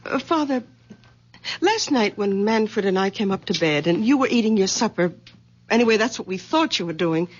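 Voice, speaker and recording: Worried, protective daughter for vintage radio play, female actor; husky, very expressive, dull recording. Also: mid-range voice, normal speed, lightly acted. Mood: probing. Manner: earnest, pleading, gently insistent. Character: protective, worried grown daughter of an old man. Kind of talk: old-time radio drama acting.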